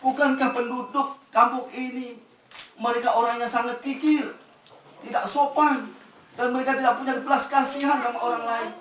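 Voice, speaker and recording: Storyteller doing an upset prophet guy, high and pushy, far from the mic. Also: little pitch movement, moderate pace, fairly bright tone, a little hiss or hum. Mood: indignant. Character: indignant pleading prophet Musa, adult man. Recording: roomy, distant, faint ambience.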